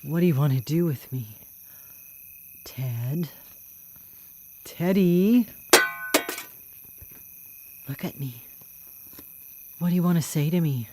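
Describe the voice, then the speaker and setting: A woman's voice: deep voice, slow, pauses often, warm tone, a little hiss or hum. An actress playing a seductive, taunting, menacing woman killer in a live radio drama. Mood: seductive, goading.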